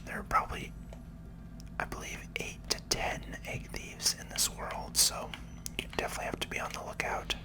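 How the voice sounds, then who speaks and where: A person giving whispered, casual ASMR commentary while hosting a video game playthrough, explaining things as they go. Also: normal speed. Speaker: host, gaming video creator.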